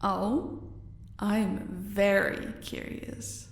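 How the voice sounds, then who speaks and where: A woman's voice: mid-range voice, little pitch movement, extremely slow, creaky. A woman playing a smug, sadistic crime enforcer in an audio roleplay, with a languid, dry delivery. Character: smug, sadistic female henchwoman, loyal enforcer. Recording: echoey room, far from mic.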